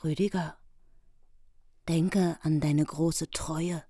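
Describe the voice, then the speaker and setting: Rachsüchtige Königin, eindringlich, tiefere Frauenstimme, trockene Studioaufnahme. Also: female voice, sits low, fairly even intonation, very slow, mellow, no echo, heavily acted. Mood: insistent. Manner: urgent, imploring. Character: vengeful grieving queen demanding loyalty. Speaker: queen. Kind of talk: radio play acting. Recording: dry studio.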